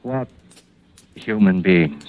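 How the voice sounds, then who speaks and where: An actor playing an uneasy, earnest police detective in an old suspense radio drama, speaking in a deliberate, formal and dramatic way. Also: male voice, deep voice, expressive, exaggerated voice. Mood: probing. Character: haunted middle-aged British police detective, earnest and uneasy. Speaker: radio drama lead and narrator. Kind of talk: golden-age suspense radio acting, first-person narration. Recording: muffled old radio recording under loud music.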